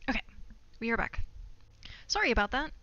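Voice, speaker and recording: Young woman, casual livestream chatter, easily sidetracked; bright voice in a dry, slightly dull close recording. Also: on the high side, sing-song, brisk, no room sound. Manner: rambling, self-distracting, thinking aloud. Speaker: live streamer. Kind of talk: casual gaming livestream chat. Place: indoor room at home.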